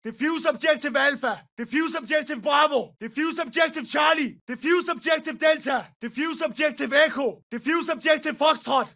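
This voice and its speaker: An actor playing a fierce Norse berserker soldier in a military shooter game, barking clipped, commanding battlefield callouts. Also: male voice, extremely high pitch, normal speed, gravelly, few pauses, bright, forceful tone, distant mic, full character voice. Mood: urgent, commanding. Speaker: operator calling out battlefield callouts.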